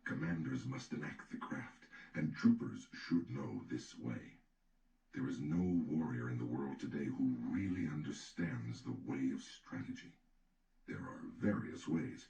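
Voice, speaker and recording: A man's voice: rumbling low, a little slow, dark tone. A man narrating an audiobook, giving a scripted reading of a classical treatise. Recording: roomy sound with background noise.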